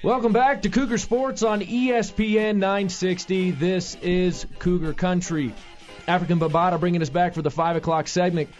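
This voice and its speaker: A man's voice: sits high, fairly even intonation, moderate pace, darkish tone, faint music behind. A man hosting a sports radio show, talking energetically with many fillers and restarts. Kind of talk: casual sports radio talk. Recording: lo-fi radio studio sound.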